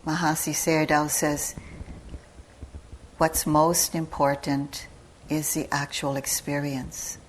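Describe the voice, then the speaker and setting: A woman teacher giving guided meditation instructions in a calm, measured manner, with long pauses between short phrases. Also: deep voice, slight background noise, rough recording. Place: meditation retreat hall.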